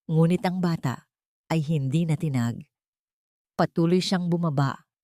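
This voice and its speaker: A woman's voice: sits low, a little mellow, no room sound, studio quality. A woman narrating a scripted audiobook drama in a dramatic storytelling style.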